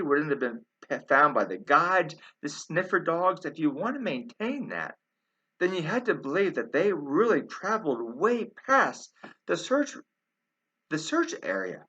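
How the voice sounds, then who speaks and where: A man's voice: fairly high pitch, bright, forceful tone, highs cut off. A man giving informal, argumentative commentary as a video host, emphatic and repetitive, addressing his listeners as friends.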